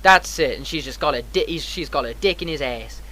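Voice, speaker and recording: A man's voice: fairly high pitch, a little fast, bright, forceful tone. A man casually telling a personal story as a streamer, in an emphatic, slangy, heavily profane way.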